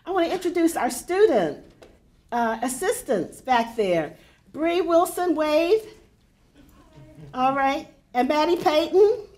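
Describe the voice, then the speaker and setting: Older woman host, big voice, leisurely and warm-toned fillers, higher than usual pitch, introducing a reading. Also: big pitch swings. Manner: warm, chatty, unhurried with frequent fillers. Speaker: poetry center director introducing a reading. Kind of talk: welcoming remarks to a live audience. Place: lecture hall at a university.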